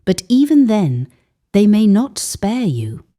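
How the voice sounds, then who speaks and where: A woman voicing a solemn old male herbalist in a folktale, gravely admonishing. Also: medium pitch, big pitch swings, slow pace, warm tone. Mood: fearful, grave.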